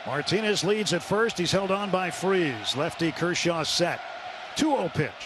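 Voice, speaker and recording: A man doing live radio play-by-play of a baseball game, measured and descriptive, filling gaps between pitches with statistics. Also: expressive. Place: stadium broadcast booth. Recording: noisy ballpark radio broadcast. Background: loud ballpark ambience.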